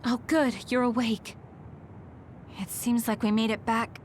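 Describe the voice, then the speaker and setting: A woman playing a tender, earnest, self-sacrificing mother in a video game, speaking in a measured way. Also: fairly high pitch, flat delivery, background noise, close to an everyday voice. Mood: reassuring. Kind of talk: English video game voice acting.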